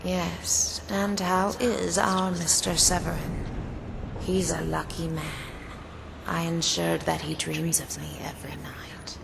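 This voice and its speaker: A scheming vampire temptress from a video game, purring her lines low and breathy. Her voice sits deep for a woman and slips into whispers. Game noise hums behind her. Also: flat delivery, takes it slowly, exaggerated voice. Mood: seductive. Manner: sultry, often whispering. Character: seductive scheming female vampire sorceress.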